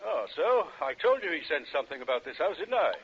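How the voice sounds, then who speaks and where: A grave, pompous ghost-hunting doctor in a 1940s radio drama. His voice is slightly high and rough-edged, with formal, deliberate phrasing. The old recording sounds muffled. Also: big pitch swings. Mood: curt, superior. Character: solemn, self-important psychic ghost hunter, a learned doctor.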